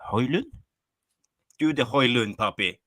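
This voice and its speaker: An upbeat man co-hosts a live fan call-in stream, reading viewer comments aloud with plenty of "uh" pauses. His voice is bright and forceful, and his English phrasing is slightly non-native. The sound is dry, with no room around it. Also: medium pitch, no room sound. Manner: upbeat, with frequent "uh" hesitations. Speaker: co-host of a fan call-in show.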